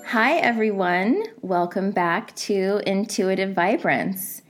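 A woman's voice: medium pitch, takes it slowly, soft background music. A woman in her forties hosting a podcast, telling a personal story in a reflective, conversational way, a little nasal from a head cold. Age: 40s. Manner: reflective, conversational, with fillers like "you know" and "like". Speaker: podcast host.

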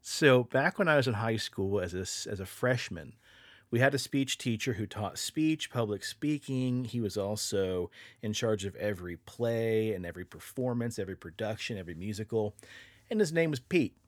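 A man's voice: fairly low pitch, lively intonation, normal speed, no room sound, clean studio sound. A young man co-hosting a podcast, telling a long story in a loose, casual way, laughing and swearing as he goes. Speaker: podcast co-host.

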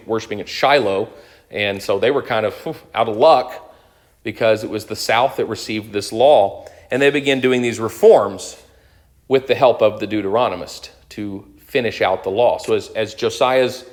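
A man's voice: lowish voice, normal speed. A man in his thirties giving an unscripted lecture on the Bible, explaining as he goes with fillers and restarts. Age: 30s. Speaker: Bible teacher.

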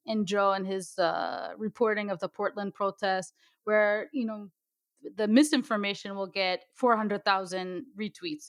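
A woman hosting an interview, asking long, winding questions at a quick pace. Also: mid-range voice, dry recording, studio quality. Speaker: interviewer host.

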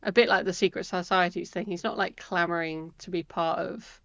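A woman's voice: on the low side, steady tone, fairly quick, fairly bright tone, no echo. A woman co-hosting a book podcast, thinking aloud in casual talk and repeating phrases as she goes. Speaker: podcast co-host. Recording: dry, dull lo-fi sound.

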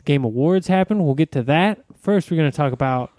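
A man hosting a casual gaming podcast, steering the talk with quick, blunt remarks. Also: a little high, lively intonation, dark tone, dry recording, clean studio sound. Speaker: podcast host. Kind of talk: casual chat.

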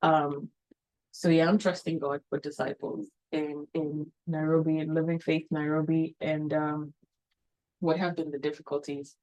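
A woman's voice: low voice, level tone, measured pace, darkish tone, low-quality recording. A woman from Kenya, a missionary planting a church, speaking earnestly at a mission event with many ums and you knows.

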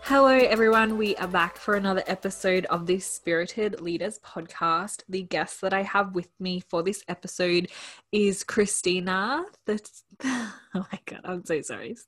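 A woman's voice: medium pitch, normal speed, fairly bright tone, soft background music. A woman hosting a podcast interview, enthusiastic and casual, often saying "like". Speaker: podcast host.

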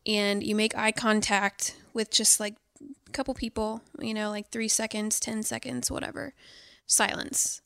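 A woman's voice: fairly high pitch, little pitch movement, unhurried, gravelly, a bit bright, clean tone, dry recording, studio quality. A woman telling a personal story in a casual podcast conversation, candid and full of fillers.

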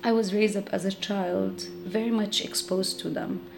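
A woman's voice: medium pitch, steady tone, moderate pace, gravelly, noisy background. A woman in her thirties, raised in Jordan, telling her family's story reflectively with many fillers. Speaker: interviewee. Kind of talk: personal storytelling. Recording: music playing under the voice.

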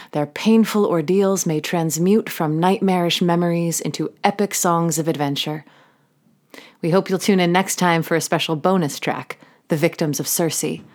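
A woman hosting an audio drama, reading a scripted outro and credits in a measured, earnest way. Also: fairly low pitch. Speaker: host and narrator of an audio drama podcast.

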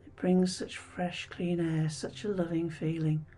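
Female meditation guide: soft and breathy, very mellow, soothing delivery with gentle pauses, music underneath. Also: fairly low pitch, flat delivery. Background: background music.

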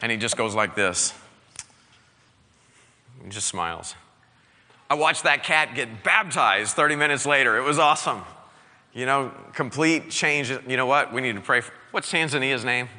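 A male pastor preaching an energetic sermon, exhorting his congregation with call-and-response. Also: mid-range voice, normal speed, pauses often, long reverb tail.

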